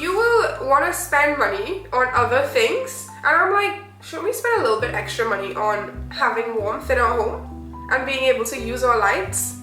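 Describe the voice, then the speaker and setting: A high-pitched woman talks casually to camera, her nose stuffy and sniffly from a cold. She teases and laughs as she goes. The room rings with echo and music plays behind her. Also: expressive, moderate pace, pushed and bright. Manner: chatty, laughs often, teasing. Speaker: co-host of a couple channel. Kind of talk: casual couple chat to camera.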